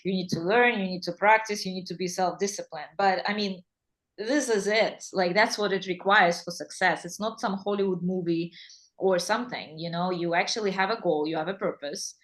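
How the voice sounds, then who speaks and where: A woman's voice: medium pitch, steady tone, fairly quick. A woman with a Russian accent hosting an interview in English, chatty and enthusiastic. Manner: enthusiastic, chatty, laughs easily. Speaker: interview host and English teacher. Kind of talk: casual interview chat.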